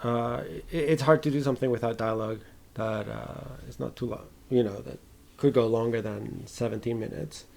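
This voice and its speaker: Halting man with a creaky voice. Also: medium pitch, darkish tone. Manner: halting, informal, swears casually. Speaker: film podcast host. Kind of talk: casual film discussion.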